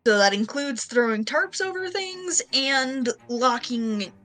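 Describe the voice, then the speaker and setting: A woman player in a casual tabletop roleplaying game, explaining moves haltingly and repeating words as she goes. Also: high-pitched, animated, bright, forceful tone.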